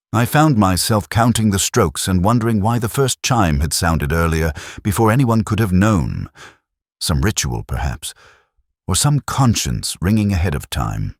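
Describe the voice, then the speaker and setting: A man narrating a scripted first-person detective story in a measured, literary, world-weary manner. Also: sits low, no echo, studio quality.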